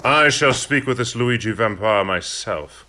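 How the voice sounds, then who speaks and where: An actor playing a cold, commanding aristocratic count in an audio drama, speaking in a formal, measured and imperious way. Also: male voice, mid-range voice, expressive, a little hiss or hum. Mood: composed, assured. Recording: long reverb, loud music behind.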